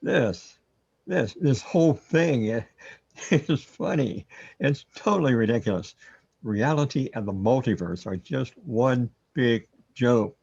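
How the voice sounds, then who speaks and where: A man's voice: medium pitch, expressive, takes it slowly, gravelly, a little mellow, slightly rough, lo-fi sound, exaggerated voice. A man playing a bewildered, resigned hero trapped in the afterlife in a sci-fi radio drama. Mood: exasperated.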